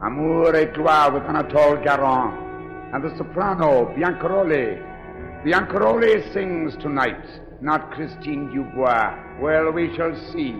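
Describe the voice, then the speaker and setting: An actor playing a brooding, menacing man in a 1940s radio drama, repeating his phrases deliberately and theatrically. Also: fairly high pitch. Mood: brooding menace. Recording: dull old radio recording, loud music behind. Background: loud music.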